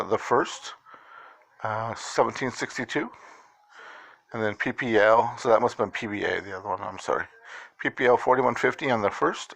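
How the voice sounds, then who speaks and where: A man in his fifties talking casually through his investment portfolio, halting with many fillers and restarts. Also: on the low side, creaky, fairly bright tone. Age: 50s. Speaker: investing vlogger. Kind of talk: casual screen-share walkthrough.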